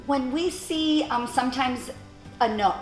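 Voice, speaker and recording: A woman hosting an interview, asking long questions and affirming her guest warmly and often. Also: high-pitched, a little slow, roomy sound, soft background music, low-quality recording. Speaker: interview host.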